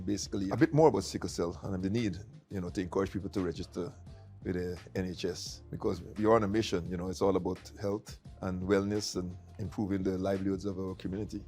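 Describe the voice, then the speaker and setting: A man's voice: deep voice, steady tone, quick pace, darkish tone. A middle-aged man from Guyana, hosting a casual interview and often repeating words between fillers. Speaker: tea company founder hosting the conversation. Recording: light music in the background.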